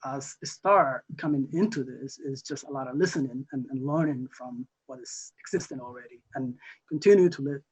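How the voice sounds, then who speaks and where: A young man from Somalia, a newly elected council member, answering interview questions haltingly with many fillers and restarts. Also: mid-range voice, darkish tone, slightly rough. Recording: muffled lo-fi audio.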